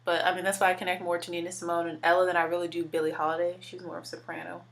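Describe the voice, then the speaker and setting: A woman in her twenties with a deeper voice, chatting casually while doing her makeup and using lots of filler words. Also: completely flat delivery, very fast, vocal fry. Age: 20s. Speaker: beauty vlogger. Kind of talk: casual makeup tutorial chat.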